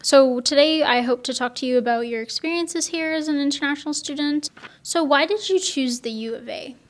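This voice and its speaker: Higher-voiced young woman interviewer. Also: brisk. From born in Sri Lanka, grew up in Canada. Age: young adult. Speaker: interviewer and host. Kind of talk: radio interview with introduction.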